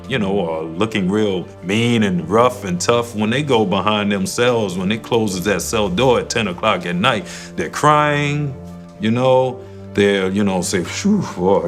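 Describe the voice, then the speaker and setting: A man's voice: fairly low pitch, measured pace, soft background music. A man who was an inmate, speaking earnestly and conversationally in a documentary interview.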